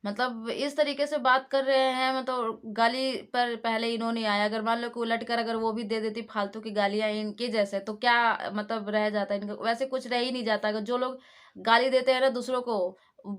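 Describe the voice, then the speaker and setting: A woman's voice: fairly high pitch, little pitch movement, moderate pace, smooth. A woman with an Indian accent giving casual reaction commentary to her viewers as the host of a channel.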